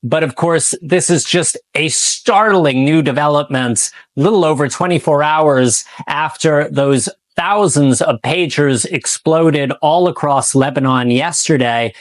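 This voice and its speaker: Guy doing a tv news report, voice a bit high, dry sound no room. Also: a little slow, hardly any pauses, no echo.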